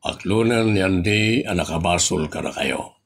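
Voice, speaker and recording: A man with an Ilocano accent playing a grave village captain in an audio comedy-drama, measured and gravelly. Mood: grave, explaining. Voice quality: low, gravelly.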